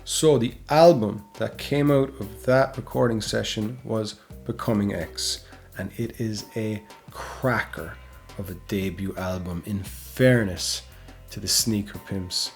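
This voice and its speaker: A man's voice: lowish voice, slow pace, vocal fry. A man hosting a music history show, narrating a band's story in a casual, chatty way and often tagging on "right?". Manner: chatty, keeps adding "right?". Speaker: music history channel host.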